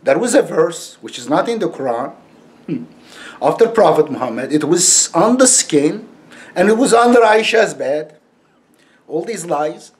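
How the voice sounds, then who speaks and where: Slow, sarcastic man with a heavy Turkish accent. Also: a little high, expressive. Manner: sarcastic and scornful, laughs mockingly; heavily accented, broken English phrasing. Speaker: YouTube commentator. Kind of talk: casual monologue to camera.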